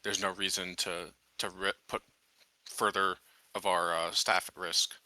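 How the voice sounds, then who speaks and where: A man's voice: low-pitched, flat delivery, measured pace, bright, forceful tone, no room sound. A man playing a tabletop roleplaying game, talking things through in a reasoned, questioning way. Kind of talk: casual discussion at a game table.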